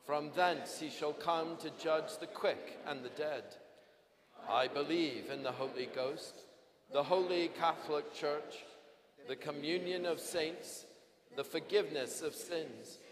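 A man's voice: on the high side, slow pace, crowd noise. A man leading a church service as priest, reciting liturgy in formal, declamatory phrases. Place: large church interior. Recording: echoey church, congregation sound behind. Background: congregation.

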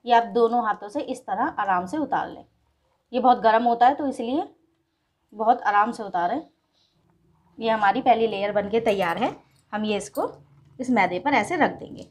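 A woman hosting a cooking channel, patiently explaining each step of a recipe to viewers in her home kitchen. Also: a little high. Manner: patient, instructive, addresses viewers as friends. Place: home kitchen with gas stove.

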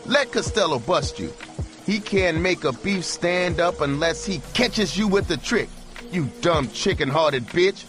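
A man playing a cold, hard older pimp who lectures in gruff street slang. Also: fairly low pitch, lively intonation, measured pace. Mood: furious. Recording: music bed behind the voice.

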